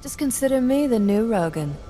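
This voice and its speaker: A ruthless, cocky female smuggler captain, voiced by a woman, cool and clipped, her pitch swinging widely from line to line. The voice sits in a long reverb tail under a game score. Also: animated, fairly quick, warm tone, faint music behind, close to an everyday voice. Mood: defiant.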